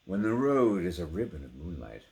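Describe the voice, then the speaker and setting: A man giving a theatrical, intense dramatic recitation of poetry, swinging between hushed delivery and full-throated cries. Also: low-pitched, big pitch swings, normal speed. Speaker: poetry performer.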